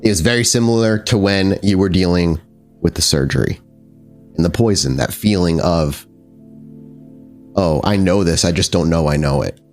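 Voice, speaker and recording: A man with a fairly low voice runs a tabletop horror game as game master. He describes scenes in detail, pausing and rewording as he searches for the right phrase, with music playing clearly behind him. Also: a little mellow. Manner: descriptive, halting while searching for words. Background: background music.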